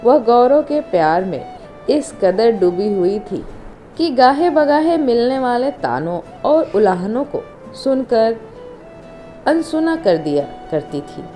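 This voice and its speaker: Slow-paced woman storyteller over music. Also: on the high side, very animated, mellow. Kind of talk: scripted narration of an emotional Hindi family story. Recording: music under the voice. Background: instrumental music.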